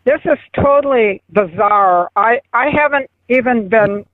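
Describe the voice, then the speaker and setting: A woman in her eighties on a phone call, protesting in a flustered, halting way. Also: fairly high pitch, lively intonation, dry recording. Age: 80s. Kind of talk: unscripted phone conversation. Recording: tinny phone line.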